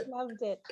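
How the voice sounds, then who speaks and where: A woman's voice: a little high, dark tone, slight background noise. A woman interviewer asking curious, chatty questions after a story reading. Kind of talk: casual interview chat.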